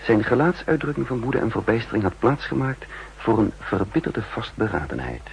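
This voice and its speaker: An actor playing a composed double agent who narrates his own story in a measured, introspective way, in a 1960s radio drama. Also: mid-range voice, very animated, gravelly, slight background noise. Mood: grave, controlled. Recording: muffled old 1960s radio recording.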